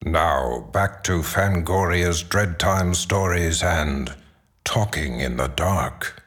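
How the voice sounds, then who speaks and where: Very slow, low-voiced male announcer. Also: fairly bright tone, soft background music. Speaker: horror show announcer. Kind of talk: scripted programme announcement.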